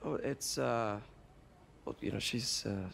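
A man's voice: medium pitch, slow, gravelly, a bit bright, a little hiss or hum, heavily acted. An actor playing an earnest, caring young man in a video game, with a hesitant, concerned manner. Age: young adult. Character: earnest, anxious young man, caring scientific assistant and hero. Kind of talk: naturalistic video game acting. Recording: music behind voice.

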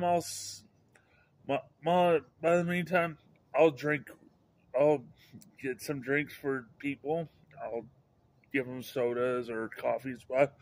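A middle-aged man vlogging outdoors, talking into a phone held at arm's length, halting and restarting words in an upbeat, rambling way. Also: fairly high pitch. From Idaho, USA. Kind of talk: rambling casual vlog. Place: outdoors by a house under overcast sky. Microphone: handheld phone camera at arm's length.

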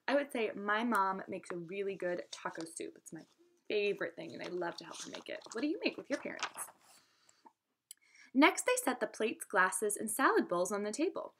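A young woman reads aloud like a teacher guiding a class. Her pitch moves in lively swings, and her delivery is expressive and instructive. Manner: instructive, addresses listeners with questions. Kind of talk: expressive storybook read-aloud with asides to students.

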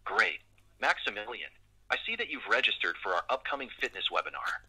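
A man's voice: fairly low pitch, sing-song, brisk, bright, forceful tone, breathy edge, rough recording. A synthetic male assistant voice making an upbeat scripted customer call, heard through a phone speaker. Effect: played through a phone speaker.

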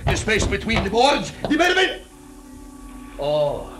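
An actor playing a nervous, cowardly prince turned crook, halting and repeating words in 1940s radio style. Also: male voice, high voice, wildly swooping pitch, heavily acted. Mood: dismayed, resigned. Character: nervous, cowardly foreign prince, a criminal sidekick. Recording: noisy old radio recording.

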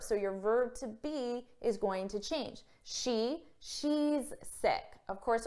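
A woman in her thirties teaches English vocabulary straight to camera in a small indoor room, sounding slightly high. She speaks slowly and clearly, acting out each example phrase with care. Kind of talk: scripted vocabulary lesson to camera. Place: small indoor room against a plain wall. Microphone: camera framed at chest height.

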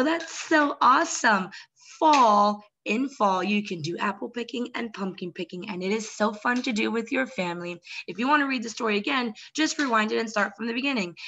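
A woman's voice: fairly high pitch, muffled recording. Young woman reading aloud to small children. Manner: expressive, addressing small children directly. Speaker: children's story time host. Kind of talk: picture-book read-aloud for young children.

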